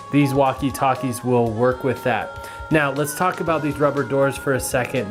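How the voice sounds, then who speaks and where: A man giving a casual, hands-on product review in a plain-spoken, explanatory way. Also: medium pitch.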